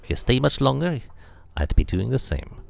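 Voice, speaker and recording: A man's voice: mid-range voice, sing-song, normal speed, vocal fry, voice pushed up. A man voicing a hostile, middle-aged ragged street thug in a game, with menacing slangy talk. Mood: threatening.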